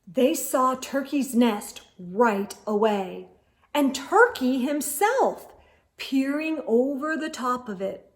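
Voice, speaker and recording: A woman reading a children's storybook aloud as host, in an expressive storytelling manner. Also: sits high, slow.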